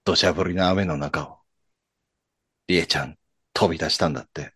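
A man playing a laid-back older friend, deep-voiced. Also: normal speed, husky, no room sound, studio quality, heavily acted. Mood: confiding. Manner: teasing, relaxed, low-key banter. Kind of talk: radio drama acting.

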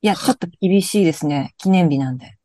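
A woman's voice: fairly low pitch, fast, mellow, dry recording. A woman acting the lead in a comedic radio drama, answering in flustered, hesitant bits, and also narrating.

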